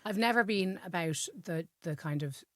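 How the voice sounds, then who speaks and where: Irish podcast host, Dublin accent, low voice, chatty and unfiltered. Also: female voice, moderate pace, no room sound. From Ireland, Wicklow. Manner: frank, rambling storyteller with many fillers. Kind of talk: casual chat.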